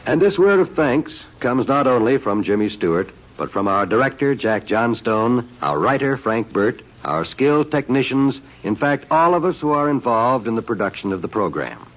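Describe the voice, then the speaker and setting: A man's voice: mid-range voice, sing-song. A man reading a formal, courteous on-air announcement as a radio announcer. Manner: measured, courteous. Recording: dull old radio broadcast.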